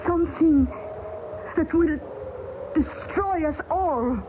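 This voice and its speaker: An actress playing a wary foreign woman at a remote arctic post, speaking halting, formal English and searching for words. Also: high-pitched. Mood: ominous. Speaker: radio drama actress. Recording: tinny, hissy old radio broadcast.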